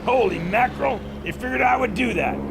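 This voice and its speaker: An actor playing a cocky, reckless American pilot hero with a folksy, brash manner in a pulp adventure audio drama. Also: male voice, extremely high pitch, brisk, noisy background. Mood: brash, urgent. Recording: loud music over the voice.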